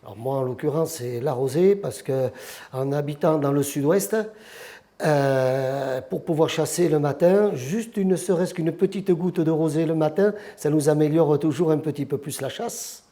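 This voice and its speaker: Man with a warm higher voice, halting. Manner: hesitant, halting with fillers. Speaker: kennel huntsman. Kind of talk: documentary interview.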